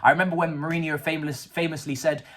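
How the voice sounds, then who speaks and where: A man's voice: a little high, little pitch movement, quick pace, fairly bright tone. A man, ranting about a football match in a casual, sarcastic and slangy way. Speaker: football fan vlogger. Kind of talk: casual match reaction rant.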